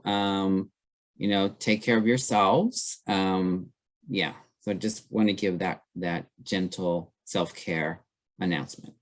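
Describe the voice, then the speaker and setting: A man with a deep, low voice hosts a webinar, talking in a relaxed, unscripted way. He fills his pauses with ums and restarts as he moves between topics. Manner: loose and conversational, many fillers. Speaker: webinar presenter. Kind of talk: live webinar moderation.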